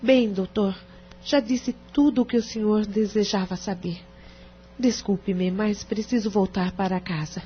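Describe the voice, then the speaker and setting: A woman's voice: mid-range voice, steady tone, unhurried, a little hiss or hum, natural voice. A Brazilian woman playing a distressed, sincere wife in an audio drama, speaking formally and earnestly. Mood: polite, reserved. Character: distressed, sincere wife pleading her case to a lawyer. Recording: dull, muffled radio drama recording.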